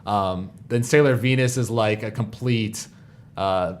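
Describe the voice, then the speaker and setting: Low-voiced man chatting enthusiastically. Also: unhurried. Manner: enthusiastic, detailed explaining. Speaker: podcast panelist. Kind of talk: casual fan chat about anime parodies.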